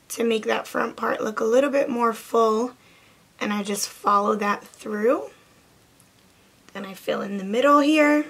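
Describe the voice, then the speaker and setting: A young woman with a hoarse, raspy voice, chatting casually through a makeup tutorial with many "like" fillers. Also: on the high side, a bit bright. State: hoarse from lost voice. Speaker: beauty vlogger.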